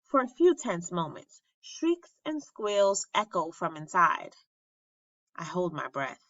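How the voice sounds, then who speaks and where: Bright-voiced woman reading a children's story. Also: fairly low pitch, very animated, slow. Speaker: storybook reader at an elementary school. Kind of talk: expressive read-aloud of a children's story. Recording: roomy, slightly dull lo-fi recording.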